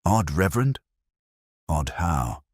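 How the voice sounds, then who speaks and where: Storybook village busybody woman, played by a male narrator: low-pitched, hissing, pompous, ever disapproving. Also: big pitch swings, slow pace, a little mellow, slightly rough, no room sound, studio quality, heavily acted. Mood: pouncing. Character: disapproving, scandal-hungry village church-bazaar woman.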